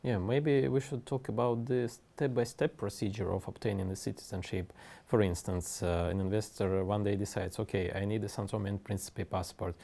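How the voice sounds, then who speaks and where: Male interviewer, gravelly low voice, asks questions in a measured way. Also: flat delivery, mellow.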